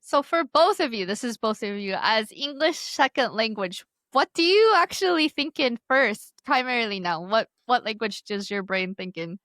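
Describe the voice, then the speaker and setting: A woman's voice: fairly high pitch, lively intonation, normal speed, few pauses, a bit bright, dry recording. A woman hosting a casual interview, asking curious follow-up questions. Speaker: host.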